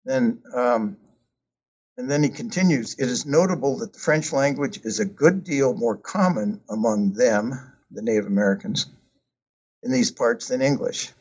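A man talking about his book in a casual, hesitant way, with many ums and uhs and frequent pauses. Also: mid-range voice, a little mellow, breathy edge. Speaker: author discussing his book. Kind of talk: casual conversational talk. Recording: dull lo-fi sound.

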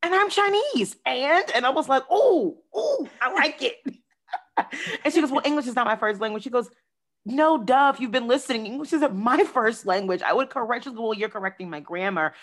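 A woman hosting a podcast in a casual, playful, animated way, calling listeners "y'all" and "honey.". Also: high-pitched, fairly quick. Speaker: podcast host. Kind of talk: casual chat.

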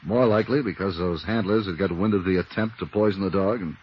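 An American man playing a gruff, brisk, commanding police lieutenant in a 1950s radio drama. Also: low voice. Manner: blunt, commanding. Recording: noisy, muffled 1950s radio recording.

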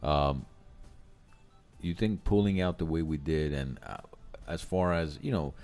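A man's voice: rumbling low, a little slow, a little mellow, soft background music. A man co-hosting a casual chat, joking and crude.

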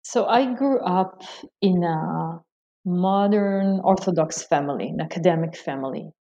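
A woman's voice: lowish voice, unhurried, dark tone, muffled recording, slight background noise. A woman with an Israeli accent whose first language is Hebrew, a scholar answering interview questions thoughtfully, with many ums and restarts. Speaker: scholar guest.

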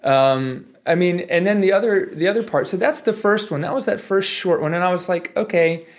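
A man's voice: high voice, a little fast. Man in his forties, casual storyteller. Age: 40s. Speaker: podcast guest, physical therapist. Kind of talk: casual storytelling in a podcast interview.

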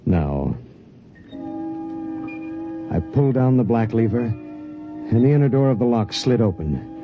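An actor playing a grim, burdened space pilot in a 1950s radio drama. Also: male voice, medium pitch, lively intonation, a little slow. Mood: grim, haunted. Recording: muffled 1950s radio, music behind.

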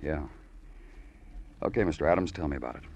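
Male noir detective: low, clipped, brooding and lyrical, with swooping inflection, old radio drama. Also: expressive, a little fast, vocal fry, darkish tone, lo-fi sound, exaggerated voice. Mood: dry, stern. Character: world-weary, poetic police homicide detective narrating his case. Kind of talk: 1940s-50s radio noir acting. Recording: old radio recording with music.

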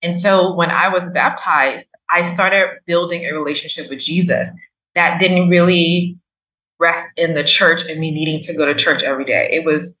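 A woman from Liberia telling her own story as an interview guest, in a measured, emphatic way. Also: fairly low pitch, steady tone, pushed and bright, roomy sound, distant mic, muffled recording. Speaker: podcast guest, coach.

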